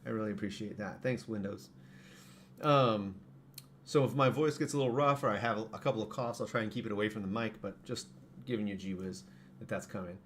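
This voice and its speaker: A man with a hoarse voice, casually explaining his products on a live stream and correcting himself as he goes. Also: fairly low pitch, a little fast, fairly bright tone, a little hiss or hum. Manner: rambling, self-correcting. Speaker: streamer and saber parts maker.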